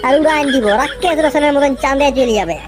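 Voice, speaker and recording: An actor voicing a cocky, grumbling village big brother in a comic cartoon, boastful and exaggerating, with a Purulia Bengali accent and a pitched-up voice. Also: fast talker.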